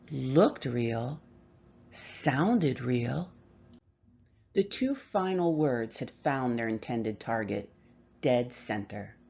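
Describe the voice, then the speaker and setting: A woman reading a horror story aloud with measured, expressive delivery and dramatic pauses. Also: rumbling low, creaky, husky, muffled recording. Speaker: story reader.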